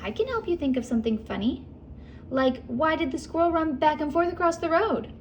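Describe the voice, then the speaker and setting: A woman voicing an eager, helpful little female squirrel in a storybook, with an excitable manner. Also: high voice, fairly quick, highs cut off, a little hiss or hum, voice pushed up.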